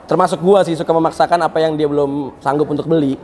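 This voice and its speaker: A man's voice: fairly high pitch, steady tone, quick pace, darkish tone. A twenty-six-year-old man answering in laid-back, slangy talk. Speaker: interviewee. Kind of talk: casual slangy interview answers.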